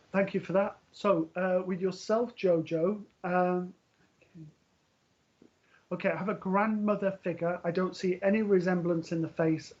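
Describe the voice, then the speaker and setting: A man with a somewhat high voice gives a spiritual reading, speaking gently and reassuringly. He often hesitates, fills pauses with ums and starts sentences over. The sound is dull and lo-fi, like audio from a live stream. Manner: hesitant, full of ums and restarts. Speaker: medium giving a live reading. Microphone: earpiece for live broadcast.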